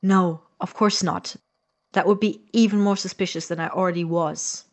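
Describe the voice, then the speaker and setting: A man's voice: high-pitched, fairly even intonation, moderate pace. A man playing an anxious, sarcastic young medical student, a reluctant accomplice, in a naturalistic audio drama. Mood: sarcastic, irritated. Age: young adult. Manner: sarcastic, exasperated.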